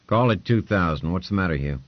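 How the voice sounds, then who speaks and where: A brusque, imperious lord barks short commands in a raised, forceful voice, as a man puts him on. The sound is dry and a little dull. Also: sits low, animated, fairly quick, no room sound. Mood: commanding. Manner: curt, commanding, clipped orders.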